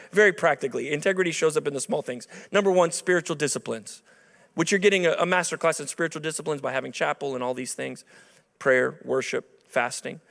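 A man's voice: on the high side, vocal fry, a bit bright. A man in his thirties preaching a chapel sermon into a handheld mic, conversational with emphatic peaks. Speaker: chapel speaker. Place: large dark auditorium stage at a lectern. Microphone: handheld microphone. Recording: long reverb of a large hall.

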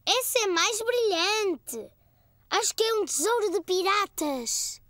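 A woman voicing a cheerful, excitable four-year-old boy in a children's cartoon, with a European Portuguese accent and a childlike, eager manner. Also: extremely high pitch, slow, dry recording, full character voice. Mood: excited.

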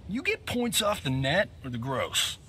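An actor playing a cocky, wisecracking Hollywood action star in a video game, flippant and smug. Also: male voice, on the high side, huge pitch swings, a little slow, pushed and bright, a little hiss or hum, full character voice. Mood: smug mockery.

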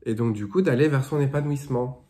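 A man's voice: medium pitch, a little fast, dark tone. A man in his thirties with a cold talks in a loose, rambling way, stacking fillers and false starts. He is recorded in a small plain room, close to a phone or camera. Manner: rambling, full of fillers and restarts. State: has a cold. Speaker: spiritual guidance vlogger. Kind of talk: casual chat, then reading aloud from a dream dictionary. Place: small indoor room with bare walls.

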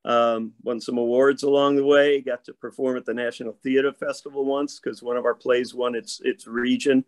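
A man in his fifties with a hoarse voice talks as a guest on a video call from his room at home. He lays his stories out in a measured, detailed way. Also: medium pitch, flat delivery. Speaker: actor guest. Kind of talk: casual interview chat.

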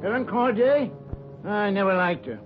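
A man's voice: sits high, moderate pace, loud music behind the voice, close to an everyday voice. An actor playing a breezy, glib, evasive male union steward in a 1940s radio drama. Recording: dull 1940s radio recording.

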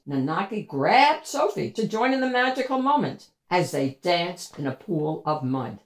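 A woman reading a children's picture book aloud as an expressive, scripted storyteller. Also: lowish voice. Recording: echoey room sound.

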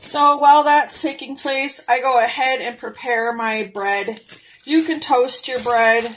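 A woman's voice: high-pitched, unhurried, a bit bright, low-quality recording. A woman casually narrating a cooking demo in her home kitchen, chatty and homey, talking straight to her viewers. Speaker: cooking vlogger.

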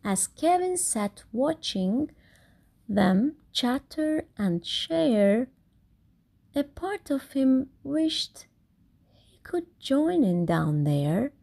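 A woman reading a children's picture book aloud expressively, with deliberate, theatrical emphasis on key words. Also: sits high, big pitch swings, creaky, mellow. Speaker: storybook reader.

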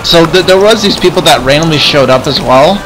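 Higher-pitched man roleplaying in a game. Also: expressive, fairly quick, rough recording. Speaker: player in a Minecraft roleplay series. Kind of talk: casual improvised game roleplay.

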